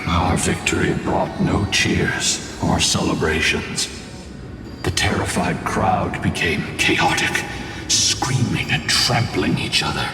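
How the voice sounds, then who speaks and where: A solemn ancient male spirit from a fantasy game, speaks very low and unhurried. He is grave and formal, his voice ringing through a long, distant reverb. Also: animated. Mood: grim, haunted. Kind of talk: theatrical fantasy video game dialogue. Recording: very echoey distant hall, music behind.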